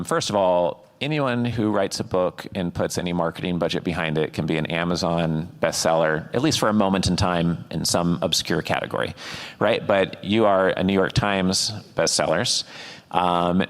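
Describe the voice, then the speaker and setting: A man with a very deep voice asks questions at a deliberate pace, often pausing on fillers. His voice trails a long reverb. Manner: deliberate, with frequent ums and uhs. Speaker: interviewer, host. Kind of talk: interview questions.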